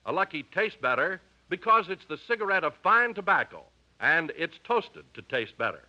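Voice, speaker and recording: American man, vintage 1950s radio announcer: sharp, forceful, animated pitch, slightly muffled recording. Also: moderate pace.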